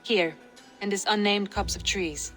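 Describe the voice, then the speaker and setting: An actress playing a pragmatic Viking woman strategist in a video game cutscene, measured and serious. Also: medium pitch, steady tone, faint music behind, natural voice. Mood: assured.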